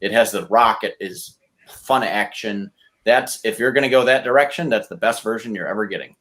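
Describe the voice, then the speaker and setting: A man talking casually as a podcast contestant, blunt, argumentative and quick to swear. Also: lowish voice, fairly bright tone. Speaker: podcast contestant pitching movie reboots.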